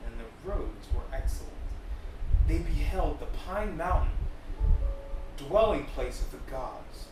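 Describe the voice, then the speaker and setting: Expressive man in a very echoey room. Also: on the high side, a little slow. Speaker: podcast guest. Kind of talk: reading aloud from an ancient epic and casual chat. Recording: very echoey, distant mic, music behind.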